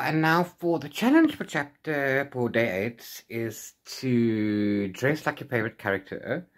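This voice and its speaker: Rambling, playful man chatting casually. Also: medium pitch. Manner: rambling, self-correcting, playful. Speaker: book reviewer vlogger. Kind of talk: casual unscripted chat to camera. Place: small room at home with bookshelves.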